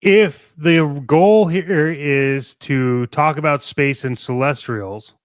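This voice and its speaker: A man's voice: fairly high pitch, slow, smooth, no room sound. A man with a clean, resonant voice, recorded close and dry. He talks like an enthusiastic fan co-hosting a casual podcast, working out his thoughts aloud in a rambling way. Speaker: podcast co-host. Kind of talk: casual fan chat about toys and films.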